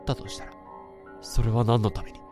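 A man's voice: medium pitch, little pitch movement, a little fast, faint music behind, close to an everyday voice. An actor playing a tense office worker who narrates his own inner thoughts in a radio drama. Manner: tense, brooding, rising to urgent shouts. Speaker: narrator and protagonist.

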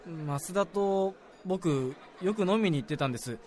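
Casting: male actor as junior salaryman, higher-pitched young voice, apologetic and sincere, confiding in a senior, radio drama. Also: a little slow, darkish tone, some chatter behind. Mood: earnest recounting. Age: young adult. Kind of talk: Japanese radio drama dialogue.

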